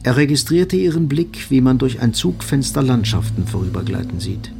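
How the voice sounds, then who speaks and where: A man giving measured, sober narration of a literary radio drama. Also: mid-range voice, gravelly, a little mellow, soft background music.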